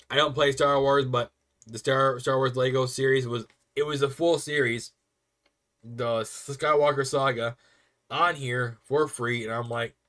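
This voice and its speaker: A young man rambling casually to viewers on a stream, chatty and quick to laugh. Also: mid-range voice, a bit bright.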